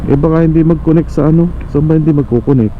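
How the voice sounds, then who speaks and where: A man's voice: on the high side, quick pace, noisy background. A man with a Filipino accent chatting casually and teasingly as a motorcycle vlogger on a helmet intercom mic. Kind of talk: casual bantering chat while testing vlogging setup. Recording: muffled helmet intercom, loud music, vehicle sounds.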